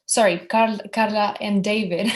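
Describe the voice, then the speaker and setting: A woman moderates a panel discussion. She sums up what was said and puts the next question to the guests. She speaks softly and stumbles a little, at an ordinary middle pitch. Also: steady tone, measured pace.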